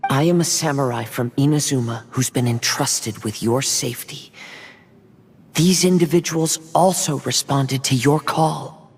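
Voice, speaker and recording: A man voicing a calm, gentle young samurai protector in an anime game, polite and earnest. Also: medium pitch, unhurried, creaky, slight background noise. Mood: earnest, reassuring. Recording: long hall reverb, music behind.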